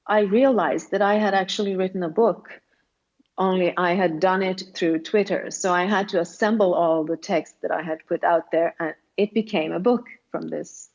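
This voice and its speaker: A woman's voice: fairly low pitch, steady tone, normal speed, a little mellow, dull audio. Swedish-accented middle-aged woman, earnest. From Sweden. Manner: earnest, reflective, frequent restarts and light laughs. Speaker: guest author. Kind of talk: interview conversation.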